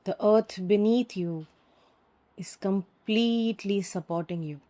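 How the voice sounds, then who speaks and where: A woman teaching yoga nidra, giving calm, soothing guided meditation instructions with long pauses. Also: mid-range voice.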